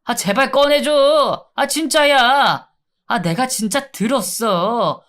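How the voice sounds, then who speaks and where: A man voicing a sneering, wheedling male convict in drug withdrawal, who taunts and then begs with drawn-out sighs. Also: very high-pitched, a bit bright, pitched above the performer's own voice, heavily acted. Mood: pleading.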